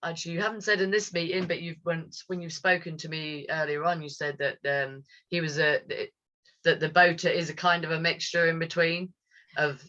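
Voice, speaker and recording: A man interviewing a guest in a casual way, curious, with quick follow-up questions. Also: fairly high pitch, flat delivery, sharp tone, muffled recording.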